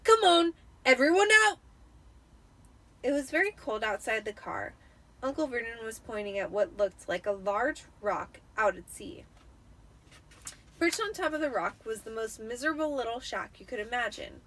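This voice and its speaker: Casting: female storyteller, slightly drunk, high voice, dramatic and expressive, recorded with clear background noise. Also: normal speed. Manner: expressive storytelling with occasional stumbles. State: tipsy. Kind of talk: dramatic audiobook-style narration.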